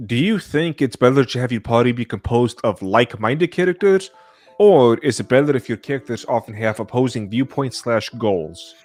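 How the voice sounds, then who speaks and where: Male podcast host, medium pitch, reading listener questions aloud with soft music behind. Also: lively intonation, moderate pace, dry recording.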